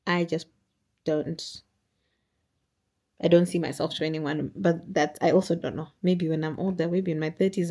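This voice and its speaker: Zimbabwean woman with a tired, strained low voice. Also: creaky, dark tone. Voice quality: naturally deep alto voice. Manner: chatty, rambling, lots of fillers and restarts. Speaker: vlogger. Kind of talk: casual chat to camera. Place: at home, indoors. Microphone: camera or phone on a stand.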